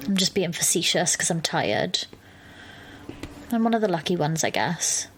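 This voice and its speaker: A woman's voice: fairly low pitch, sing-song, slow pace, long pauses, fairly bright tone, a little hiss or hum, full character voice, poor audio. A woman acting in an audio drama as an exasperated, sarcastic, sceptical young best friend. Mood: weary sarcasm. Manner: dry, sarcastic. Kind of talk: audio drama dialogue and first-person narration.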